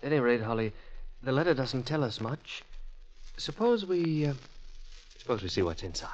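A man's voice: mid-range voice, very animated, a little hiss or hum, close to an everyday voice. An actor playing an earnest, curious young Englishman of twenty-five in an old radio drama, speaking dramatically. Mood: curious, eager. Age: young adult. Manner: earnest, dramatic, halting when reading aloud. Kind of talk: 1940s-50s radio drama acting. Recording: muffled vintage radio recording.

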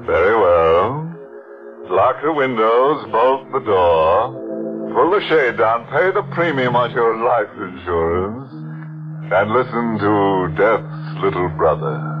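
An actor playing a ghoulish, tongue-in-cheek horror show host in 1940s radio style, sardonic and mock-genteel, with macabre relish. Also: male voice, on the low side, expressive, slow. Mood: ominous relish. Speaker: host of a radio mystery anthology. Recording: muffled old radio recording with music.

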